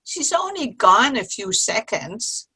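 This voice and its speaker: A woman's voice: a little high, sing-song, slow pace, pushed and bright, breathy edge, exaggerated voice, rough recording. A woman playing a wry, teasing older friend in a comic play reading. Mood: easygoing. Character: wry, indulgent old girlfriend at afternoon tea. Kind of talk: virtual play reading, comedy. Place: video call.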